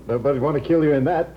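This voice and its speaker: An actor playing a skeptical young husband who teases and jokes dryly, in a 1950s radio drama. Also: male voice, medium pitch, lightly acted. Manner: wry, teasing, lightly sarcastic. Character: young husband, skeptical and joking new lord of the manor. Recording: dull, faintly hissy 1950s radio broadcast.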